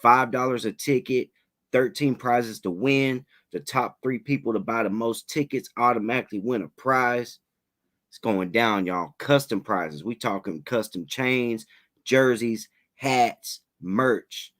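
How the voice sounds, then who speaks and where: A man hosting a casual livestream interview, talking in relaxed slang, a little raspy from a head cold. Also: medium pitch, measured pace. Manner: laid-back slangy hype, fillers like "you know what I'm saying".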